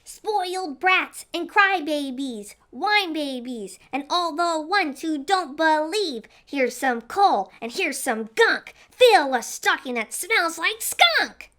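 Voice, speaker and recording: A woman's voice: sits high, animated, slow pace, hardly any pauses, pushed and bright, pitched above the performer's own voice, exaggerated voice. A woman voicing mean, mischievous coal-mine elves in a storybook, in a taunting rhythmic chant.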